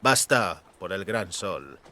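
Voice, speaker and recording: A man's voice: deep voice, sing-song, takes it slowly, bright, forceful tone, full character voice. A man playing a stern, imperious emperor in an audiobook, speaking in a clipped, bitter, commanding way. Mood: angry, impatient. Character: stern, terrible male emperor, imperious and impatient.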